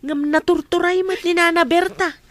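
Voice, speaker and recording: A woman's voice: very high-pitched, fairly even intonation, normal speed, no echo. A woman acting a caring, loyal friend in an Ilocano radio drama, speaking with earnest concern.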